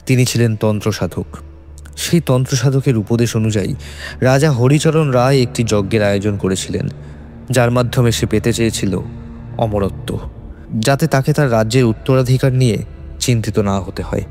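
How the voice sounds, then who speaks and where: A man's voice: medium pitch, normal speed, a little mellow, faint music behind. A man with a Bengali accent narrating a scripted horror story in a dramatic, suspenseful way.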